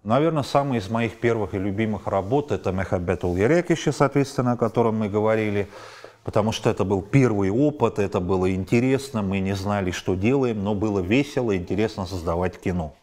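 Director guy in his 40s, low voice, gets louder when he lists stuff, apartment interview. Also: brisk. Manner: animated, lists examples with rising emphasis. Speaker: film director. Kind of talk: interview answers. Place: high-rise apartment at night, indoors.

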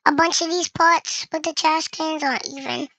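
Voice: high-pitched, fairly even intonation, moderate pace, pushed and bright, no room sound. A young gamer giving casual gameplay commentary, with the voice run through a voice changer. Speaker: gaming video creator.

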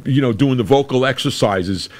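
A middle-aged man with a very deep voice, chatting casually as a podcast host over a video call. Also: normal speed, a little mellow. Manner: chatty, with restarts and laughs. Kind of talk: casual interview chat. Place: room with a brick wall.

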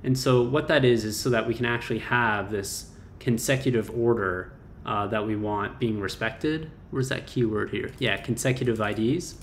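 A man's voice: medium pitch, moderate pace. A young man casually walking through a coding problem, thinking aloud with fillers and small self-corrections as he types. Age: young adult. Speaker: coding tutor. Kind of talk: casual screen-share coding walkthrough. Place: small indoor room. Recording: roomy echo, faint keyboard noise.

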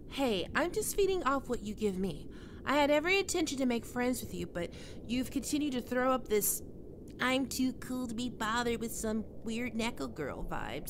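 A woman's voice: on the high side, a bit bright, a little hiss or hum. A woman playing a skittish, defensive young catgirl roommate in an ASMR roleplay, stammering and prickly to hide her fear.

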